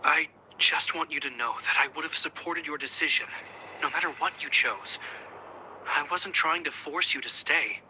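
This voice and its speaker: An actor voicing an earnest, loyal, protective brother in a video game, speaking sincerely and deliberately. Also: male voice, a little high, bright, forceful tone, a little hiss or hum, rough recording. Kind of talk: English video game voice acting. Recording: music behind the dialogue. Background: game music.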